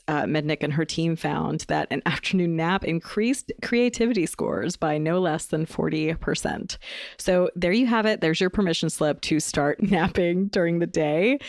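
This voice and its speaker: A woman's voice: low voice, creaky, no echo, studio quality. A woman hosting an interview podcast, talking in an enthusiastic, effusive way. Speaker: podcast host.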